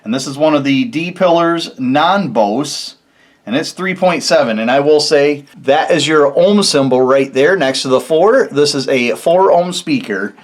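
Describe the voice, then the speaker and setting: A man's voice: on the high side, expressive, a little slow. A man hosting a casual how-to video, explaining things step by step in an informal, folksy way. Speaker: host of a DIY car electronics video.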